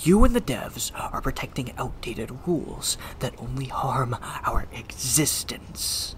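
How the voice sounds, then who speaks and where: An actor in an audio drama playing a bitter, hunted superhuman rebel with fierce conviction and an intense, hushed, menacing delivery. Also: medium pitch, big pitch swings, measured pace, whispered. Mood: menacing, fervent.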